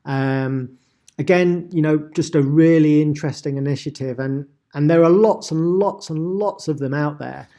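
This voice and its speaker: A man's voice: mid-range voice, mellow. A man hosting an online seminar, presenting examples in a rambling way with many filler sounds. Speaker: seminar host. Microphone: video call.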